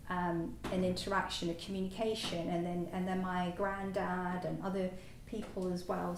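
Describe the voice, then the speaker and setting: Halting woman in an echoey hall. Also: mid-range voice, darkish tone. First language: French. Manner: hesitant, halting, many fillers and restarts. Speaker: new Buddhist order member. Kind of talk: personal reflective talk. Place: meditation centre hall. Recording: echoey hall, distant mic, faint noise.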